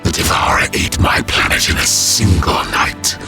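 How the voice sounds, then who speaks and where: A man voicing a grim, vengeful reptilian alien warrior in a science fiction audio story, with a harsh, low, gravelly voice and solemn, deliberate delivery. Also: music in the background, lightly acted. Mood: grim, resolute. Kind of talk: dramatic audio story acting.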